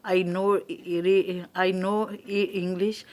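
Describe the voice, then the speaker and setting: An older woman from Pakistan, a native Urdu speaker, a guest speaking halting English with a Pakistani accent and many restarts. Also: fairly low pitch, little pitch movement, a little mellow.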